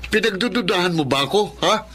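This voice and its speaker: A man's voice: high-pitched, lively intonation, a little fast, a bit bright. A man playing a tired, evasive, irritable husband in a Filipino radio drama, curt and dismissive. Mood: defensive irritation.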